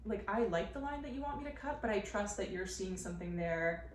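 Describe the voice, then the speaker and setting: A young woman chatting on a podcast with a close friend, playful and quick to agree with murmured mm-hmms. Her pitch is middle. The recording is roomy, with faint background hiss. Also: animated. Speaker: podcast co-host. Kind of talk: casual chat between friends.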